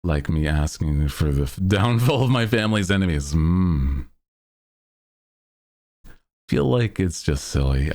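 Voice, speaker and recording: Male livestream host, low-pitched and unhurried, loose self-deprecating chat with highly animated intonation. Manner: rambling, self-deprecating, jokey. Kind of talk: casual chat with stream viewers.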